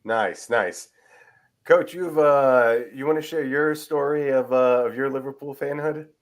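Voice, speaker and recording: A man's voice: mid-range voice, measured pace. A man hosting a sports podcast in a casual, conversational style. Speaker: podcast host.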